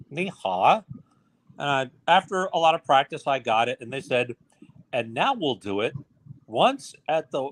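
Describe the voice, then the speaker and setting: A man of middling pitch chatting with friends in a relaxed group conversation. He tells stories in a winding way and laughs along at his own tales. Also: low-quality recording. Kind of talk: casual chat.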